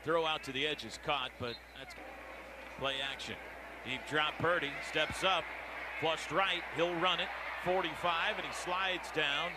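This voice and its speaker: American male play-by-play radio caller, higher register, terse urgent phrasing, noisy stadium broadcast. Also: a little slow. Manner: clipped, urgent running description. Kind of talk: live American football radio play-by-play commentary. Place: outdoor football stadium. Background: steady stadium noise.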